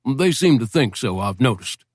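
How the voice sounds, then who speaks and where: A man's voice: medium pitch, big pitch swings, moderate pace, no room sound. A man voicing a laconic American army major from Arkansas, speaking in short, dry lines. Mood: terse, dry. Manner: terse, dry.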